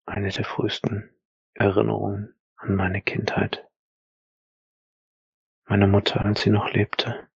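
Guy playing a brooding old soldier telling his story, real slow and halting, creaky, dry close recording. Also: on the low side, completely flat delivery, no room sound, dull audio, heavily acted. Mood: somber, brooding. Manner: measured, halting, breathy at phrase ends. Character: brooding male veteran narrating his dark memories. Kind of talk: scripted dramatic narration.

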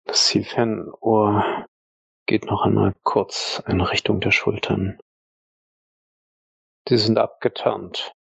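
Male storyteller for audio drama: grave, low, monotone delivery, husky texture, deliberate fragmented phrasing. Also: dry recording, highs cut off. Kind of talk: slow dramatic narration in fragments.

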